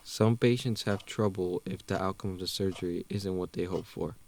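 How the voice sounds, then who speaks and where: A man playing a calm, emotionless plastic surgeon in an audio drama, speaking in a measured, formal, clinical way. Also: low voice, a little hiss or hum. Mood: detached, formal.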